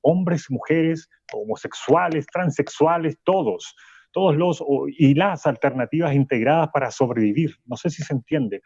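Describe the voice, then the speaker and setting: An actor playing an earnest, wry male university professor as a halting, reflective guest in a radio drama interview. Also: fairly high pitch, a little mellow, breathy edge, dry recording, highs cut off. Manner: halting, searching for words.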